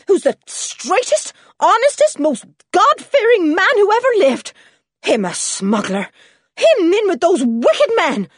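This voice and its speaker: A woman's voice: medium pitch, a little mellow, husky, no room sound, studio quality, full character voice. A woman voicing an indignant farmer's wife in a storybook, fiercely loyal to her husband and emphatic in her scolding. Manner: emphatic, scolding, repeats herself.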